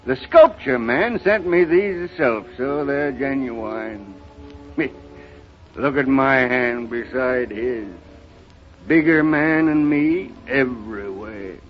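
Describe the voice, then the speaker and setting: Old country grandfather, acted by a man: rural American, folksy and sorrowful, unhurried halting delivery, vintage radio play, dull recording. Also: mid-range voice, faint music behind, lightly acted. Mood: folksy, earnest. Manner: halting, rustic, dramatic storytelling. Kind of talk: 1930s radio drama acting. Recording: muffled, noisy 1930s radio recording.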